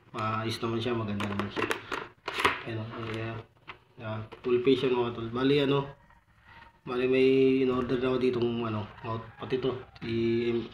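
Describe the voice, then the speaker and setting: A Filipino man talks in a relaxed, informal vlog style, as if to his buddies. His medium-pitched voice stays fairly monotone. The recording is roomy and echoey, with clear noise behind him. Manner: repeatedly addresses viewers as buddies, informal. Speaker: vlogger reviewing motorcycle gear. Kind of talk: casual vlog product walkthrough.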